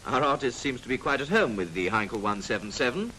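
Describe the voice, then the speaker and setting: Animated 1940s British male film narrator. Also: mid-range voice, quick pace. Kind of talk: 1940s military instructional film narration, scripted. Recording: noisy 1940s film soundtrack.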